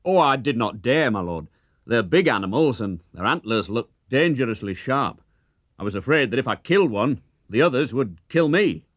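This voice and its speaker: Man acting a sly, animated outlaw. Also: mid-range voice, moderate pace, no echo, clean studio sound, lightly acted. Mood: feigned awe.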